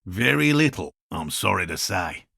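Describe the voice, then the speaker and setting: Game role: obsessive, courteous male field scientist, husky and crackling, lively pitch, fussy scholarly delivery. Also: normal speed, no room sound, studio quality, exaggerated voice. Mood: explaining, scholarly. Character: earnest eccentric male cryptozoologist scientist, obsessive but courteous. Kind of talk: video game dialogue voice lines.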